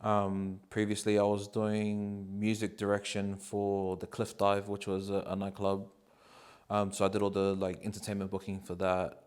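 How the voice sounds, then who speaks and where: A man, answering interview questions in a relaxed, rambling way with many ums and likes. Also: on the low side, moderate pace, smooth. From New Zealand. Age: 30s.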